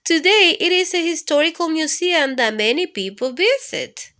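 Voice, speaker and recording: A woman reading an educational story aloud for children, in an expressive, instructive way. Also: very high voice, moderate pace. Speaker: children's storytime host and reader. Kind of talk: read-aloud educational narration for kids.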